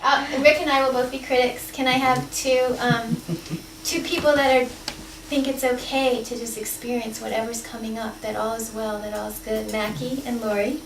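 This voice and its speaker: Brief: playful woman facilitator with a high voice, recorded from afar in an echoey, noisy room. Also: level tone, moderate pace. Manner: candid, playful, laughs easily. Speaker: workshop facilitator. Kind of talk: leading a group role-play exercise. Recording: roomy, distant, noisy live room.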